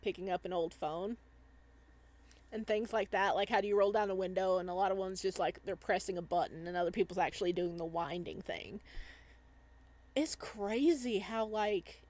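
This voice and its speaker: A woman hosting a casual visual novel playthrough, chatting and reading aloud. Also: mid-range voice. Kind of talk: casual playthrough chat and read-aloud narration.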